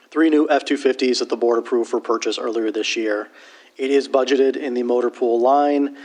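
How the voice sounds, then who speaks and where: Man reading out bid figures. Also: mid-range voice. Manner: reads figures steadily, with fillers. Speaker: municipal services director. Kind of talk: presenting an agenda item. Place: township board meeting room.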